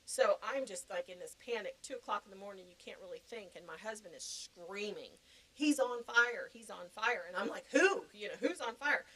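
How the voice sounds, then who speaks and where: A woman's voice: mid-range voice, expressive, moderate pace, sharp tone, low-quality recording. A middle-aged woman giving a personal testimony as a podcast guest, talking conversationally and earnestly and repeating phrases for emphasis.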